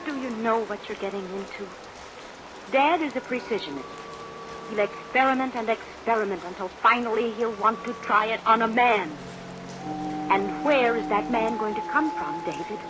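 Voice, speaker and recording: A woman's voice: a little high, moderate pace, background noise, natural voice. A young woman acting in a vintage radio drama as a scientist's worried, pleading daughter, earnest and dramatic. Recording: old radio recording under steady rain.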